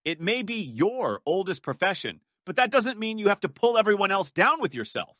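A man delivers scripted commentary in a high, sharp voice. His tone is scornful and sarcastic. The voice-over is close and very dry. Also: big pitch swings, normal speed, dry recording. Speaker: video commentator.

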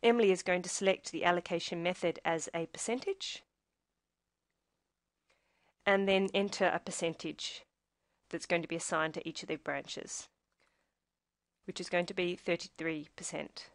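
A woman reading a scripted software tutorial, explaining each step in a measured, instructional way. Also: fairly low pitch, fairly even intonation, no echo, clean studio sound.

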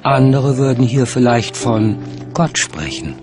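A man's voice: mid-range voice, expressive, measured pace, over music. A man reading scripted narration as the narrator of a documentary.

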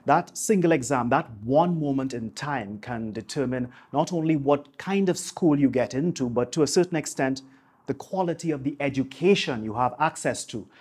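Husky man, polished presenter. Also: on the high side, darkish tone. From Trinidad and Tobago. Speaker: YouTube host, marketing coach. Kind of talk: scripted piece to camera. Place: small home office, indoors. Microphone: lapel mic.

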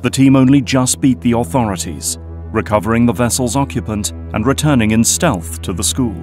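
A man narrating an audio drama in a steady, scripted storytelling manner. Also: mid-range voice, brisk, faint music behind.

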